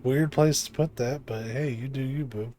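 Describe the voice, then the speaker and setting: A man's voice: medium pitch, fairly even intonation, normal speed, mellow. A man streaming a video game live, commenting casually and reading bits of on-screen text aloud.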